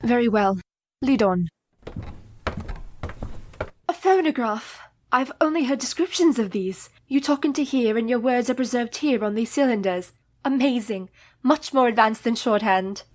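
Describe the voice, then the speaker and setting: Casting: resolute young Victorian lady, earnest and composed, slightly high voice, radio drama. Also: a little slow, a little mellow. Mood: curious. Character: poised, determined young married Victorian woman. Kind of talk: radio play acting.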